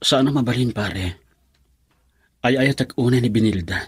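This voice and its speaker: A man's voice: medium pitch, normal speed, breathy edge, dry recording. A man acting a lovelorn, earnest young man whose sweetheart left him, pleading his case in an Ilocano radio drama. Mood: dejected.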